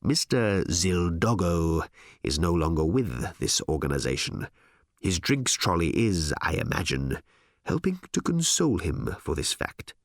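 A man voicing a smooth, cold, pompous editor-in-chief in an audiobook, speaking in a deliberate, condescending manner. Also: sits low, very animated, no echo, clean studio sound, pitched below the performer's own voice, exaggerated voice. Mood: cool, condescending.

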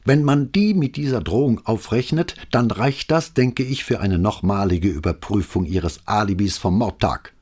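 A man's voice: on the low side, normal speed, few pauses, darkish tone, slightly rough, voice pushed up. A man voicing a detached male police lieutenant who speaks casually.

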